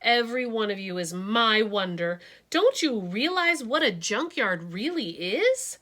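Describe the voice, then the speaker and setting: Gentle storybook teacher, bright tone, unhurried, as a woman reader voices her. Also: on the high side, sing-song, heavily acted. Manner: gentle, warm and encouraging. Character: kind wistful woman teacher, encouraging.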